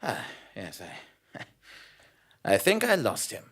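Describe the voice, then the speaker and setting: Excitable blundering journalist, bright piercing voice, swooping intonation, stammering, audio drama. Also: male voice, mid-range voice, takes it slowly, breathy edge, heavily acted. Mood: nervous. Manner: stammering, flustered. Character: excitable, blundering male investigative journalist, easily flustered. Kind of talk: audio drama acting with narration.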